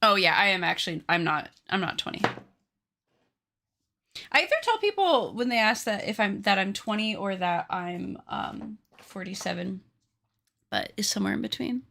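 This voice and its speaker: Woman, late twenties, streamer; bright tone with some fry; chatty, teasing, self-deprecating. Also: mid-range voice, lively intonation, normal speed. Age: 20s. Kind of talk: casual live-stream chat while crafting.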